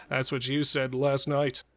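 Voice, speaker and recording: A man's voice: medium pitch, level tone, slow, a bit bright. A man playing a cautious but joking killer and robber who steadies his partner, in horror audio drama dialogue. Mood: wry. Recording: music and effects under the voice.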